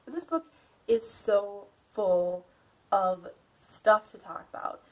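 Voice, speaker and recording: A woman's voice: mid-range voice, animated, takes it slowly, poor audio. A woman hosting a video who reads a novel dramatically and breaks off for chatty asides full of fillers. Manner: chatty asides with fillers, theatrical when reading. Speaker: video host and reader. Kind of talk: casual commentary and dramatic reading.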